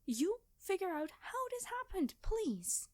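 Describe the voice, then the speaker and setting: A woman's voice: very high-pitched, very animated, slow, no room sound, clean studio sound, heavily acted. A woman playing a quirky, chatty time traveler from the future, rambling and flustered, in an ASMR roleplay. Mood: hushed, exasperated. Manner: rambling, flustered, hesitant, cheery. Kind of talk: ASMR storytelling roleplay, one-sided conversation.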